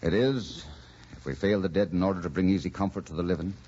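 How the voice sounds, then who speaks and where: An actor playing an earnest, torn Irish delegate in old-time radio drama, grave and impassioned. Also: male voice, low voice, quick pace, mellow, slightly rough. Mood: tormented, tender. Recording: dull, noisy old radio recording.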